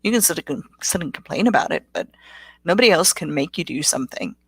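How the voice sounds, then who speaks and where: A woman's voice: low voice, very animated, fast, slightly rough, no echo, poor audio. Motivational middle-aged woman guest. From South Africa. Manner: motivational, reflective. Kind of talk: casual interview answers.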